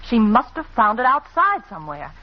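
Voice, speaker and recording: A woman's voice: on the high side, very animated, a little fast. An actress playing an anxious, determined young woman sleuth in an old radio mystery, with an urgent, earnest delivery. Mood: excited, urgent. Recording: muffled, noisy old radio recording.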